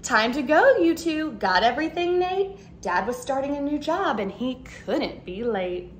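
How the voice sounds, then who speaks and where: A woman in her thirties reads a children's picture book aloud like a schoolteacher. Her voice sits fairly high and swings widely in expressive, animated phrases. She is recorded at home with noticeable background noise. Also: unhurried. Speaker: second grade teacher. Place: living room at home, indoors.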